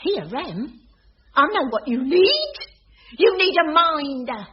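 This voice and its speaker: High, lilting cleaning lady. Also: big pitch swings, slow pace, exaggerated voice. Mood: chatty, matter-of-fact. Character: chatty, doting working-class cleaning woman. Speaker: actress in a sitcom. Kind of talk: 1980s radio sitcom acting. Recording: dull noisy radio recording, studio audience.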